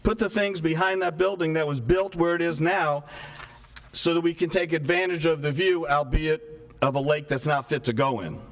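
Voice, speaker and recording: Soft-spoken man, slightly high voice, resident at a council meeting's public comment, earnest, leans on rhetorical questions. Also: steady tone, moderate pace. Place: town hall meeting room. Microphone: microphone stopped working partway.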